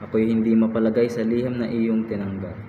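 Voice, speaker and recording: A man's voice: fairly low pitch, flat delivery, brisk, close to an everyday voice. A man playing a worried, cautioning friend in an amateur radio drama, speaking formally and pleadingly. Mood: worried, warning. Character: worried, cautioning male friend, Don Pedro. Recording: muffled, echoey hall, faint noise.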